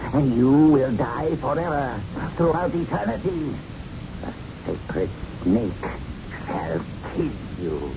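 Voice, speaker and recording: An actor in a 1970s radio drama playing a menacing unearthly voice that pronounces an eternal curse in an ominous, declamatory manner. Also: male voice, mid-range voice. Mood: grave, condemning. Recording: noisy muffled 1970s radio recording.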